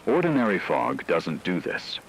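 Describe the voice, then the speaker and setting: A man playing a serious, measured news anchor in a scripted emergency radio broadcast drama. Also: sits low, wildly swooping pitch, a little hiss or hum, low-quality recording. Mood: grave, ominous. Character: grave male radio news anchor reporting a supernatural fog crisis.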